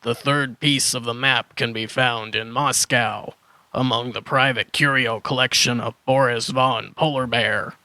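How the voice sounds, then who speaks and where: A man's voice: mid-range voice, fairly even intonation, unhurried, pushed and bright, no echo. A man playing a flustered dinosaur hermit who muddles his words in a children's audio drama. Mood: matter-of-fact. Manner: flustered word-swapping, correcting himself.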